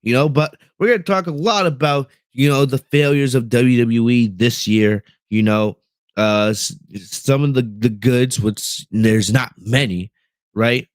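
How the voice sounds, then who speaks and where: A man's voice: mid-range voice, unhurried, no room sound. A young man from the United States hosting a wrestling podcast from his bedroom, talking in a casual, rambling way with lots of fillers and restarts while he reads the live chat. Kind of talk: casual livestream commentary. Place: bedroom at home.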